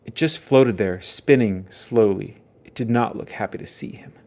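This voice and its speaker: A man narrating a children's book aloud as dramatic storytelling, with theatrical emphasis and suspenseful pauses. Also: on the low side, a little mellow.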